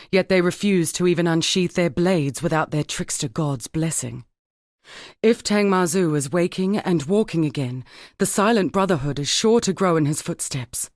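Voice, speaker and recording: A woman playing an earnest, formal woman scholar in a dark fantasy video game, speaking in a measured way. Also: lowish voice, no room sound, studio quality, natural voice. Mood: grave, resolute. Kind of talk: scripted dramatic game dialogue.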